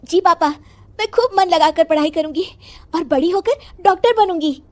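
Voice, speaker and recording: A woman's voice: very high-pitched, normal speed, voice pushed up. A woman voicing an earnest, meek young schoolgirl granddaughter in a moral story, with a pleading, eager manner.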